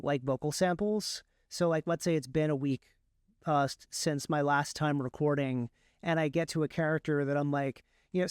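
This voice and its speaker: A man's voice: on the high side, clean tone, no echo, studio quality. A man speaking casually as an interview guest, explaining his craft and often repeating words mid-sentence. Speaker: guest, author and audiobook narrator.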